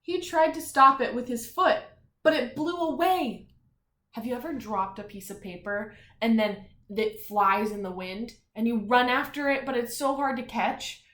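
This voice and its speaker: A woman teacher reading a picture book to young children, expressive and emphatic on the text, with softer, encouraging conversational asides and questions. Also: a little high, normal speed. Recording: echoey room sound.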